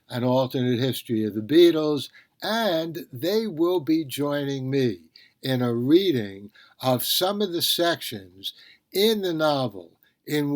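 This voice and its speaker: Slow-paced man hosting a podcast. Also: medium pitch. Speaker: podcast host. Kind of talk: informal podcast monologue, announcing events.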